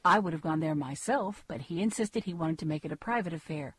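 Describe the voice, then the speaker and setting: A slyly helpful household acquaintance played by a woman chatters briskly. Her voice is husky and a little low, in the manner of gothic soap drama. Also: no echo. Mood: breezy, knowing. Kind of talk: gothic audio drama acting.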